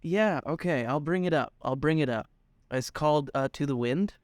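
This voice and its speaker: A young man co-hosting a casual podcast, speaking in a laid-back, drawn-out way. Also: fairly high pitch, no echo. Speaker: podcast co-host. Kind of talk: casual chat.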